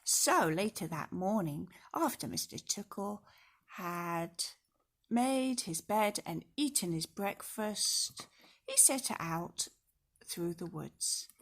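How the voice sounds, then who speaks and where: A woman reading a children's storybook aloud in an expressive way, speaking directly to young listeners. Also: fairly low pitch. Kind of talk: storybook read-aloud with casual asides.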